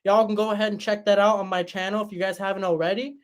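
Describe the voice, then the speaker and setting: A young man hosting a livestream and answering chat in a relaxed, chatty way, his voice tired after hours of talking. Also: high-pitched, fast talker. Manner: relaxed, chatty, many fillers and restarts. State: voice tired after a long stream. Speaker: livestream host reading chat.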